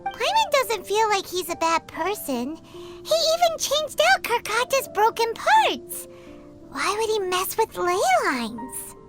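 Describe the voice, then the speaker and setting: A squeaky little girl companion. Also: very animated, slow, full character voice. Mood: curious. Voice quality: high, squeaky. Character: small floating girl companion, chirpy and curious. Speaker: game character. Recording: game audio with music behind.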